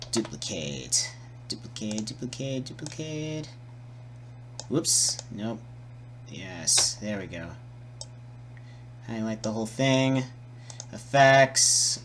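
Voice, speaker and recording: Male tutorial presenter, unhurried and tentative, thinking aloud informally, with light background noise. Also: lowish voice, fairly bright tone. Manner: hesitant, muttering, repeating words while working. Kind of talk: casual improvised software tutorial.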